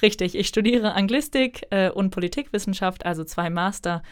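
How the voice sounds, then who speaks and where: A woman's voice: medium pitch, normal speed, smooth. A young woman in her twenties, a German author, answering interview questions in a chatty, thoughtful way with frequent fillers. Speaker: author guest.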